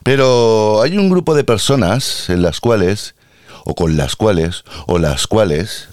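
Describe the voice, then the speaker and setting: A man with an Andalusian accent rants through a podcast monologue in a low voice. His pitch leaps up and down with sarcastic, theatrical flair. The sound is dry and close, with no room echo. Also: animated, slow, a little mellow. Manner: ranting, sarcastic, playfully theatrical. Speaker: podcast host and healthcare worker.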